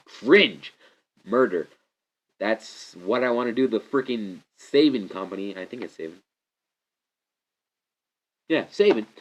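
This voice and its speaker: A young man with a stuffy, congested voice gives a rambling, excitable movie review, often restarting his sentences. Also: lowish voice. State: congested from a cold. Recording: slightly muffled lo-fi recording.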